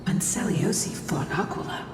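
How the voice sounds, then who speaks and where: An actress playing a grave, solemn woman in a fantasy game, speaking in a breathy, measured hush. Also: in a whisper, close to an everyday voice. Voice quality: breathy, hushed. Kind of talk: dramatic video game dialogue. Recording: echoey, distant, over game music.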